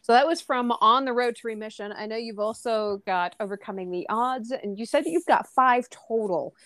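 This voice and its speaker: A woman hosting an interview, upbeat and quick to laugh. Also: fairly high pitch, a bit bright, dry recording. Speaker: interviewer host. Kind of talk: interview chat.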